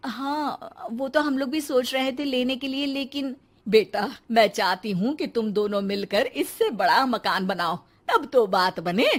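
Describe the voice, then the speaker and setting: A woman's voice: a little high, lively intonation, unhurried. A woman playing a thrifty, practical mother-in-law in a cartoon, motherly and insistent, with music behind her. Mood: hopeful. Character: thrifty, practical older mother-in-law, kindly but firm. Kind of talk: cartoon moral-story voice acting. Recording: clear music under the voice.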